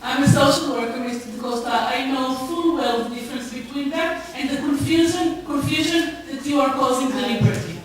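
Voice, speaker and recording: A woman's voice: sits high, fairly quick, few pauses. A woman putting questions at a public meeting in an insistent, confrontational way, recorded in a large echoey room. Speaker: social worker asking a public question at a council meeting. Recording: echoey hall from far off, faint noise.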